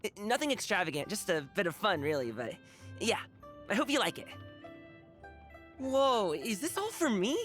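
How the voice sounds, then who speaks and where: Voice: medium pitch, big pitch swings, moderate pace, pauses often, fairly bright tone, soft background music. An actor voicing a cheerful, cocky young wushu dancer and guard for a video game, with breezy, slangy, boyish bravado. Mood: warm, excited. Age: young adult. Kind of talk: video game character voice lines.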